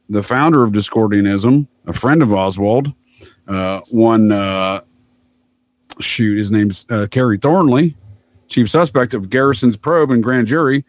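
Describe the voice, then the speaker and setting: A man's voice: medium pitch, dry recording. Middle-aged man, emphatic and rambling, close dry sound. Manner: emphatic, rambling, swears freely. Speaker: podcast guest, retired military master sergeant. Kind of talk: casual opinionated podcast conversation.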